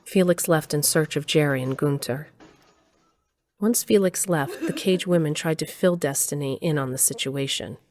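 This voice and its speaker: A woman's voice: low-pitched, moderate pace, a little mellow, lightly acted. A woman playing the composed narrator of an audio drama, reading scripted story narration. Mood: matter-of-fact.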